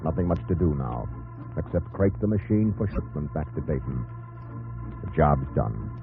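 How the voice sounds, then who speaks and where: An actor narrating a 1950s radio drama, solemn and measured, addressing the hero intimately in terse dramatic phrases. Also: male voice, very deep voice, expressive, creaky, heavily acted. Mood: solemn, reflective. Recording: tinny 1950s radio broadcast, music behind.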